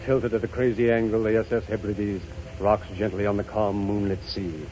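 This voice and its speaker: Dark-voiced man narrating old radio drama. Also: lowish voice, flat delivery. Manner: solemn, hushed, deliberate. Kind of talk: scripted scene-setting narration, 1930s radio. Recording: muffled, hissy 1930s radio broadcast.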